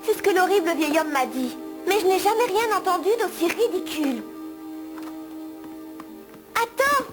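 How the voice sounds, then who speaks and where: Cartoon little girl: tiny, very high register, earnest, anxious, incredulous, over clear background music. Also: expressive, normal speed, pauses often. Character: earnest, worried little girl searching for her brother. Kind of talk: animated film dub acting.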